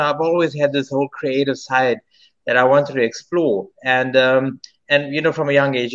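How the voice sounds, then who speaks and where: A man's voice: mid-range voice, a bit bright, dull audio. A South African singer in his thirties, answering interview questions in a rambling way with many restarts. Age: 30s. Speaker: singer-songwriter guest.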